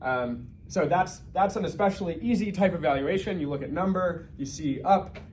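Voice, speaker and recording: A man's voice: high voice, moderate pace, darkish tone, a little hiss or hum. A man giving an informal research talk, thinking aloud conversationally with self-corrections and asides.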